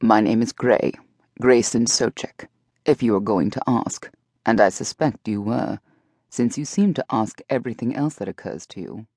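A woman's voice: rumbling low, moderate pace, mellow, breathy edge, no room sound, clean studio sound. A woman voicing a stern, dignified man in an audiobook, speaking formally. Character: stern proud Czech man, dark creature. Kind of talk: audiobook dialogue.

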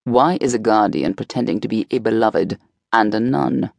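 A woman's voice: a bass voice, moderate pace, creaky, darkish tone, dry recording. A woman voicing a stern, dignified man in an audiobook, speaking formally. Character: stern proud Czech man, dark creature. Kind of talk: audiobook dialogue.

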